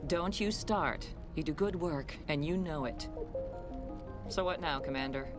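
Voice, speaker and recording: A woman's voice: low-pitched, measured pace, music in the background. An actress playing a resolute middle-aged colony scientist and mother in a dramatic video game. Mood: firm, practical.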